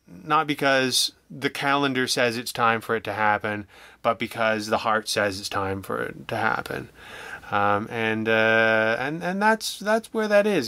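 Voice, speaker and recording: Bright, clear-voiced man chatting haltingly. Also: mid-range voice, dry recording. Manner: hesitant, halting, rambling around his thoughts. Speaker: audio drama creator, interview guest. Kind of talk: casual podcast conversation.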